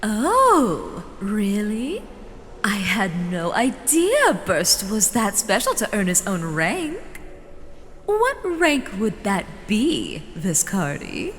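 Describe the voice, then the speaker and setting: A woman voicing a gracious but chiding vampire elder in a fantasy audio drama, deliberate and theatrical. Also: mid-range voice, wildly swooping pitch, fairly bright tone, heavily acted. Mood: surprised. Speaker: voice actor. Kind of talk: scripted fantasy character dialogue. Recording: long reverb, faint background noise.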